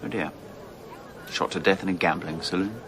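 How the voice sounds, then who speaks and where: An actor playing a polite, reserved Victorian gentleman in a radio drama. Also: low-pitched, normal speed. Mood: wary, puzzled. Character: courteous, wary Victorian gentleman.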